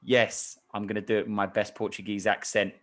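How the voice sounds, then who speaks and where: A man with a fairly low voice hosts a sports podcast. He talks conversationally, with a playful, hyped way of setting up each topic. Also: flat delivery, a little fast. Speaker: podcast host.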